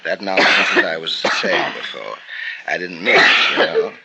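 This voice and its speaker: An actor playing a cold, vain middle-aged poisoner, who pleads softly and then gloats cruelly, in a 1940s radio drama. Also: male voice, deep voice, expressive, normal speed, creaky, slightly rough, exaggerated voice. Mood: gloating. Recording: muffled old radio recording with faint hiss.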